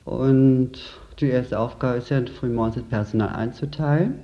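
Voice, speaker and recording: A man's voice: medium pitch, normal speed. Man chatting on dull interview tape. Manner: colloquial, tags statements with "nicht?". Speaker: department store assistant manager. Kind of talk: casual interview talk.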